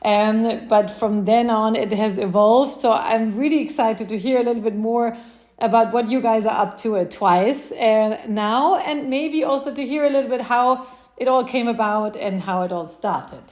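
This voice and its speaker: A woman's voice: on the high side, moderate pace, darkish tone. A woman hosting a podcast interview, speaking conversationally in an affirming, informal manner. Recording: roomy, echoing sound.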